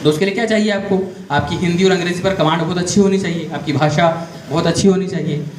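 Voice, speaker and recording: High-voiced man lecturing in echoey room. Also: fairly even intonation, fast talker, a little mellow. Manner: addresses the audience with frequent direct questions; storytelling, explains step by step. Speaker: career guidance speaker to school students. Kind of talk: motivational talk to a class. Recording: echoey room, faint background noise.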